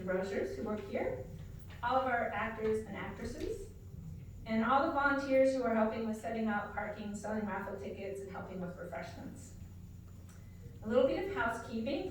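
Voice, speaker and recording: Echoey woman making event announcements. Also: fairly high pitch. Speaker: organization director giving event introduction. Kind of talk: public announcement read to an audience. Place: live event in a hall. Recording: very echoey hall, distant mic, faint noise.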